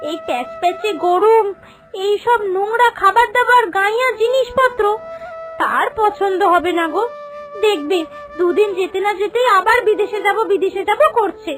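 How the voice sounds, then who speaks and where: Very shrill, scornful older village auntie. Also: female voice, moderate pace, over music. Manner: mocking, disdainful. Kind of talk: animated cartoon dialogue.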